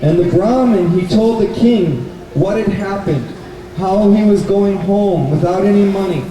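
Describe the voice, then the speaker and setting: A man telling a children's story live to an audience, in simple, deliberate phrases. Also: high voice, dark tone. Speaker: puppet show storyteller and presenter. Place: indoor bookstore event. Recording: very echoey, noisy hall, distant microphone.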